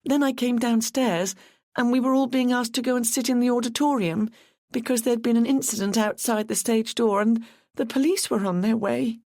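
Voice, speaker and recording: A woman's voice: normal speed, a little mellow, no echo, studio quality, lightly acted. A woman voicing a timid, tearful young woman in her twenties who sounds anxious and gushing. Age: 20s. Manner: high, sniffly, anxious and gushing.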